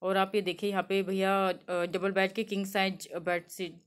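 Young woman from delhi chatting thru a market tour on her phone, mixes in english words, lots of fillers, middle pitch. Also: flat delivery, moderate pace. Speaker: shopping vlogger. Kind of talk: walking market tour, showing goods to camera. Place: crowded outdoor street market. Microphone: handheld phone, speaker off-camera.

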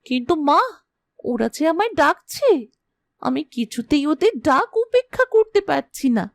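A woman voicing a sweet, earnest young girl in a fairy-tale cartoon, expressive and affectionate. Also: high voice, moderate pace, gravelly, no echo, studio quality, exaggerated voice. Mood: pleading. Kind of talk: cartoon voice acting.

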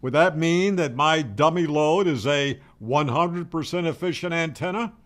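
A man's voice: fairly high pitch, normal speed, fairly bright tone. An American man in his seventies giving a casual, opinionated, wry explanation to camera in a conversational way. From United States. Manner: conversational, opinionated, wry, asks rhetorical questions. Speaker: ham radio video host. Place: home room, indoors. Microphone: desk microphone on boom arm, close.

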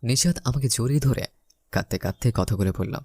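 A male storyteller reads a scripted romantic tale in the first person. His voice is medium in pitch with a dry, echo-free sound, and he acts out lines of dialogue. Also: fairly quick, dark tone, slightly rough. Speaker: story narrator.

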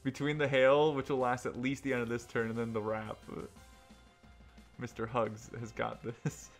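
A man's voice: mid-range voice, little pitch movement, moderate pace. A man chats casually as he plays an online game. He is jokey and teasing, with a mid-range voice. Music plays behind him. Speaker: player and host of an online game video. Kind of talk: casual gaming commentary and banter.

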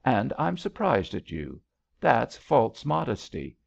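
A man's voice: mid-range voice, huge pitch swings, takes it slowly, creaky, exaggerated voice. A man voicing a spirited, stubborn young woman in an audiobook love story, eager and direct. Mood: puzzled, indignant. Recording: slightly dull, lo-fi audiobook recording.

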